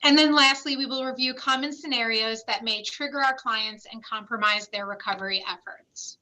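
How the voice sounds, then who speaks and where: Bright-voiced woman presenting a webinar. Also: fairly high pitch, normal speed, poor audio. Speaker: clinical site director presenting a webinar.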